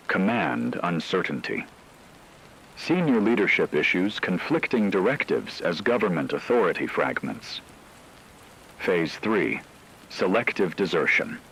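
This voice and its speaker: A man playing a grave radio news analyst, delivering scripted reports in a formal, measured, analytical manner. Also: low-pitched, gravelly, fairly bright tone, a little hiss or hum, heavily acted, rough recording.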